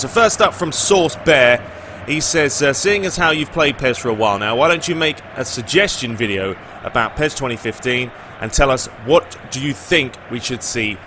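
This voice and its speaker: Bright-voiced man hosting a casual gaming vlog. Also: mid-range voice, slight background noise. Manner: conversational, informal, opinionated. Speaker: gaming video host. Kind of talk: casual chatty Q&A commentary over gameplay.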